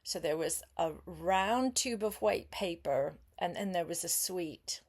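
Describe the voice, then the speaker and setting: An older woman reads a children's story aloud as a grandmother would, expressively, pausing to chat and put simple questions to her young listener. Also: fairly low pitch, darkish tone.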